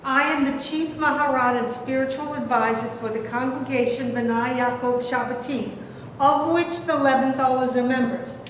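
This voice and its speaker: A woman's voice: high-pitched, steady tone, normal speed, lightly acted. A woman reading the part of a stern female religious advisor who lectures formally in a staged reading. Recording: echoey hall from far off, faint noise.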